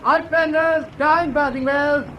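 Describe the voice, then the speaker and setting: Wartime navy deckhand: high-pitched, drawn-out shouted commands, radio drama, muffled sound. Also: male voice, steady tone, a little hiss or hum. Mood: urgent command. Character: shouting Royal Navy seaman giving boarding orders. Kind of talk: naval radio drama acting.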